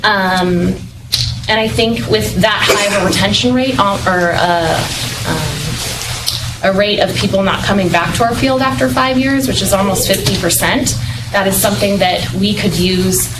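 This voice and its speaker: A woman's voice: mid-range voice, unhurried. A woman, a parent and kindergarten teacher, making a public comment at a school board meeting with frequent ums. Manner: hesitant, many ums. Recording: distant mic in a noisy meeting room.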